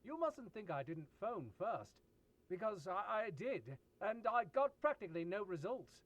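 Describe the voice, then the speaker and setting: A man plays a polite, sophisticated writer in an old-time radio drama. The voice is high and mannered, and the sound is dry and slightly dull. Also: big pitch swings, moderate pace, no echo. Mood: polite, wry. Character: urbane, apologetic sophisticated male writer.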